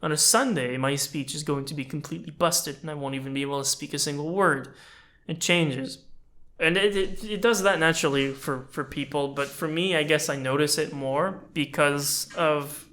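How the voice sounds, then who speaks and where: A man's voice: a little high, moderate pace, pushed and bright. A man in his twenties whose first language is French, casually and thoughtfully looking back on his channel with chatty, self-deprecating asides. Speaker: YouTuber and animator. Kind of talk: casual reflective talk.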